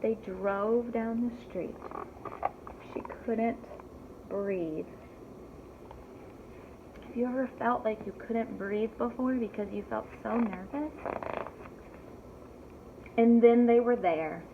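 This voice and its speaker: A woman reading a story aloud to young children in an animated teacherly way, pausing to ask them simple questions. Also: on the high side. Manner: addresses young listeners directly with simple questions. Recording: thin phone-like audio with clear background noise.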